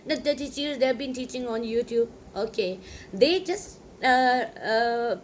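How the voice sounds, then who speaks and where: A woman's voice: fairly high pitch, unhurried, slight background noise. A woman from Pakistan with a Sindhi-Pakistani English accent, a native Sindhi speaker, talking casually and rambling with lots of "you know" and emphatic asides. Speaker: English teacher. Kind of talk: casual talk to camera.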